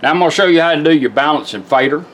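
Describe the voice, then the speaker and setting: A man with a slightly high voice gives a casual hands-on tutorial. He is plainspoken and folksy, instructing one step at a time, with music clearly present in the recording. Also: expressive, racing. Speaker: product demonstrator and car radio rebuilder.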